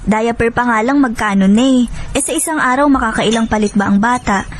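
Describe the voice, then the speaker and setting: Lady acting as young filipino wife, radio drama, higher voice, expressive and frank, bit of noise behind. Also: fairly quick, close to an everyday voice. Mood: practical, concerned.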